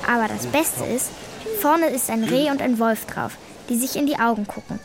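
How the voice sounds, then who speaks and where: A woman's voice: a little high, moderate pace, gravelly, music in the background. A female performer playing a cheeky, outspoken, talkative schoolgirl in a German radio play. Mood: frank, explaining. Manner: pert, talkative, earnest.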